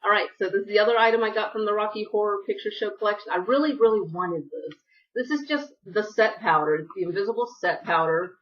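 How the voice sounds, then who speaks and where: A woman's voice: medium pitch, quick pace, distant mic, muffled recording. Chatty, sniffly woman vlogger. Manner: chatty, rambling, apologetic asides. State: sniffly from allergies. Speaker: beauty vlogger. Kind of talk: casual haul vlog.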